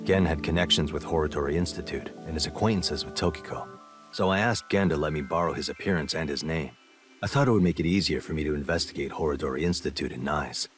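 A laid-back male special agent from a video game, with a low voice. He delivers dry jokes and explanations in the same deadpan way, over prominent music. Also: quick pace. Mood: earnest recounting. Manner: deadpan, dryly joking.

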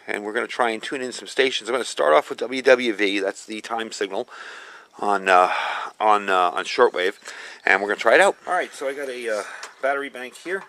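Bright-voiced man, casual outdoor demo. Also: on the low side, a little fast. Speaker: video host, product reviewer. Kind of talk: casual hands-on product demo. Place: outdoors in a gravel yard. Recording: outdoor camera recording with ambient noise.

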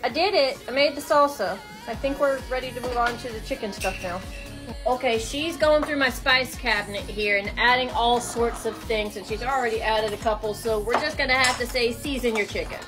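Woman, 30s, from the American South, teasing and chatty as she films a home kitchen on her phone; high voice, music under it. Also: brisk. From Kentucky and Tennessee. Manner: playful, teasing, talks to viewers. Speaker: vlogger filming the cooking. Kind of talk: casual chatty home vlog. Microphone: phone held at arm's length. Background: music and frying sizzle.

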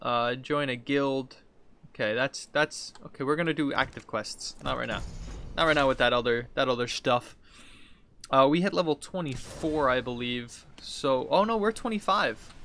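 A man's voice: medium pitch, normal speed, fairly bright tone, slight background noise. A man in his thirties giving casual video game commentary as a streamer, thinking aloud in an informal way. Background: game music.

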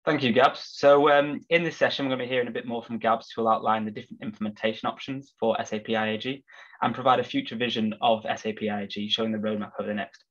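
A man hosting a business webinar, sounding husky from a cold, relaxed with frequent filler words. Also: medium pitch, fast talker. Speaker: webinar host. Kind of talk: corporate webinar presentation. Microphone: video call. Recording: slightly dull video call audio.

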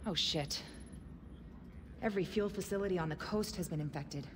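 A woman's voice: on the low side, moderate pace, close to an everyday voice. An actress playing a stern, businesslike female intelligence officer in a video game. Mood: alarmed, sharp. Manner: serious, businesslike. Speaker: intelligence operations chief. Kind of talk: dramatic video game acting. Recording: reverberant cabin with loud background noise.

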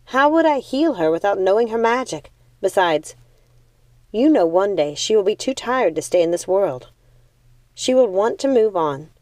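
Earnest young witch female audiobook reader, pleading, dutiful, soft mellow tone, dry recording. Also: medium pitch, dry recording, close to an everyday voice. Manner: pleading, insistent. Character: earnest, devoted young witch torn by duty.

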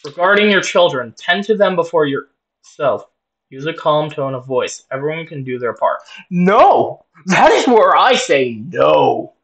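A man's voice: on the high side, very animated, unhurried, a bit bright, lo-fi sound. A man giving casual, joking reaction commentary, swearing now and then and reading on-screen text aloud. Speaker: reaction video host.

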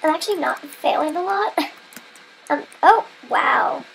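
Female gamer, stuffy-nosed and sniffly, high voice with vocal fry, chatty and self-mocking. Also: unhurried, fairly bright tone, a little hiss or hum, poor audio. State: stuffy nose, getting sick. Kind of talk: casual gameplay commentary.

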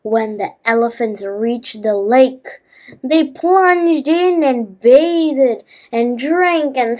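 Woman, high voice, slow pace, children's storyteller, halting read-aloud, asides to listeners. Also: a little mellow.

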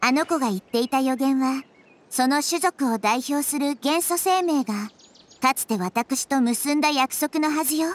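A woman voicing a small, wise girl goddess in a game, speaking softly and with composure. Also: sits high, normal speed, natural voice. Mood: calm. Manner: soft, composed, explanatory. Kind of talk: anime game voice acting.

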